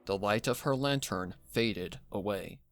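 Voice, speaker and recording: A man reading a dark horror story as a dramatic scripted narration. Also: fairly low pitch, measured pace, no room sound, faint music behind. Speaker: fan fiction narrator.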